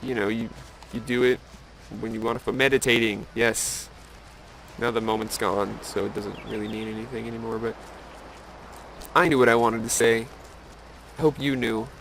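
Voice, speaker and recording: A man's voice: medium pitch, level tone, moderate pace, fairly bright tone, a little hiss or hum. A man giving casual, rambling game commentary with musing, wry asides to the viewer. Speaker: gameplay video host.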